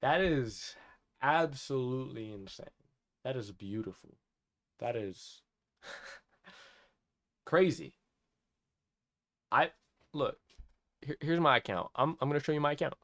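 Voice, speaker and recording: Casting: 18-year-old male vlogger, mid-pitched, excited rambling rant, keeps saying it's insane, dry close sound. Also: takes it slowly, pauses often, no echo. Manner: awed, repeats himself, restarts often.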